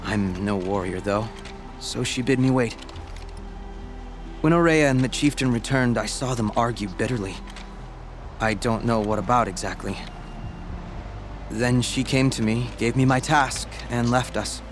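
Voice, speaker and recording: An actor playing an earnest young apprentice shaman in a video game, humble and measured as he speaks. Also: mid-range voice, lightly acted. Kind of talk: video game cutscene dialogue. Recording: game audio with loud music.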